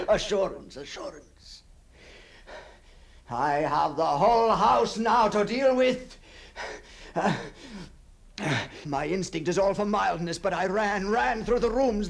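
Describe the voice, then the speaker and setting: An actor playing a haunted, obsessive gentleman in a gothic radio monologue, halting and theatrical, repeating his words with a husky, gravelly voice. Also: fairly high pitch. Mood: brooding, then agitated defiance. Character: haunted, obsessive gentleman confronting a ghostly double. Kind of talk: dramatic gothic monologue, radio drama acting.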